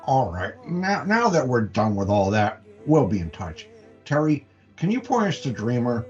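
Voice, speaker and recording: A man's voice: mid-range voice, very animated, moderate pace, dull audio, faint music behind, close to an everyday voice. A man acting in a comedic audio play as a sardonic, educated city wrestling promoter. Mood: businesslike.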